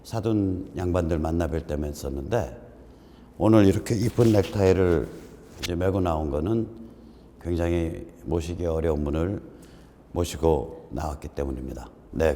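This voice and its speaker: A man's voice: a bass voice, normal speed. Joking man in his fifties, echoey hall. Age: 50s. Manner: joking, self-deprecating, animated. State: congested, strained throat. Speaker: host. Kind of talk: casual political talk show banter. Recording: roomy hall echo, faint noise.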